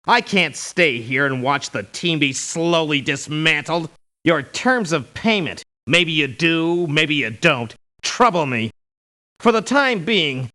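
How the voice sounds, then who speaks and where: An aloof mercenary doctor, video game lines, formal, precise, bright, animated pitch, unhurried, dry disdain.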